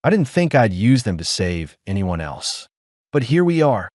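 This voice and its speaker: A man voicing a weary, gruff human ex-mercenary who speaks low and clipped. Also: very animated, moderate pace, a little mellow, dry recording, clean studio sound.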